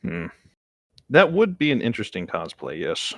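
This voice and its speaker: A man's voice: lowish voice, wildly swooping pitch, normal speed, fairly bright tone, no echo, clean studio sound. A man chatting casually among friends.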